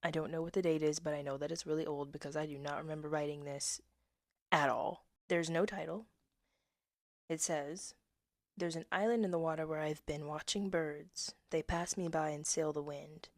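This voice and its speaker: Woman, 20s; low-pitched, hushed reader of her own verse, dry close mic. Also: fairly even intonation, moderate pace, no room sound. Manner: soft-spoken, drifts into whispers, laughs at herself. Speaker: poet reading her own work. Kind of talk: casual reading of old poems with asides.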